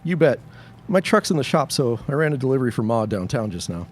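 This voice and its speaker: An actor playing a friendly, earnest, folksy high school boy in a period audio drama. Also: big pitch swings, fast. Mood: eager. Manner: eager, folksy.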